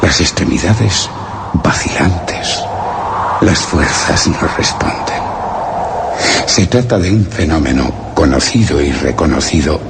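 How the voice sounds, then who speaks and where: An actor playing a solemn, anxious chronicler in a radio horror drama, grave and formally literary, his phrase endings turning breathy. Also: very deep voice, slow, very noisy, heavily acted. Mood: solemn unease. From Spain. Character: solemn, anxious male chronicler of a mysterious encounter. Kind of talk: radio horror drama, first-person narration of a written account. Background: music.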